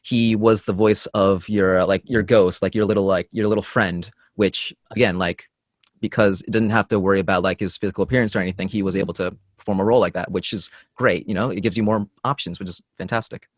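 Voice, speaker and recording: A man, a voice actor, talking fast on a panel with lots of fillers. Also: sits low, steady tone, dry recording, clean studio sound. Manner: quick, filler-heavy. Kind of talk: casual panel chat.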